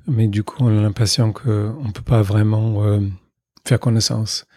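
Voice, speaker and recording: An American man from Boston speaking French with a slight accent, answering thoughtfully with many pauses. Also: on the low side, little pitch movement, a little mellow, no echo, clean studio sound. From United States, Boston. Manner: hesitant and reflective, with many pauses. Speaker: guest.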